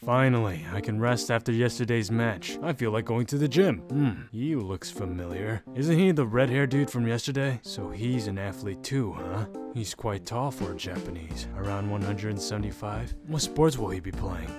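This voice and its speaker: A man's voice: fairly low pitch, lively intonation, normal speed, few pauses, loud music behind the voice, lightly acted. Man playing a blunt, brooding young cartoon guy. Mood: musing. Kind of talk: cartoon comic dub acting.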